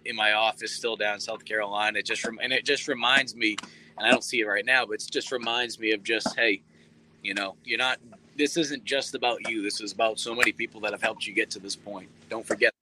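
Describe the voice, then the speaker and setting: A man in his thirties, a sports reporter, talking earnestly in a relaxed interview with many fillers. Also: lowish voice, fairly quick, noisy background. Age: 30s. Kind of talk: casual interview talk.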